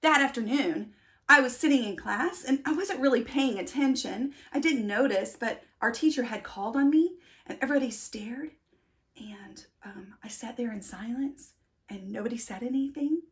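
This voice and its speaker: A woman's voice: fairly high pitch, moderate pace, fairly bright tone. A woman voicing a shy, awkward, self-doubting bean in a children's storybook, hesitant and stammering. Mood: glum, hesitant.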